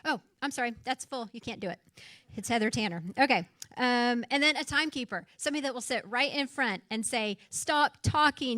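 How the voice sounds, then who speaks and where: A woman's voice: fairly high pitch, fairly bright tone, no room sound. A woman giving casual, chatty announcements to a meeting crowd as organizer. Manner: chatty, informal, with fillers.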